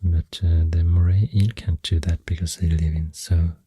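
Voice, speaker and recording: A soft-spoken ASMR host with a Swedish accent, rambling gently through facts in a hushed, breathy, whispery voice with unhurried pauses, close to the microphone. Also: low-pitched, level tone, dry recording. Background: pencil writing and clicking sounds.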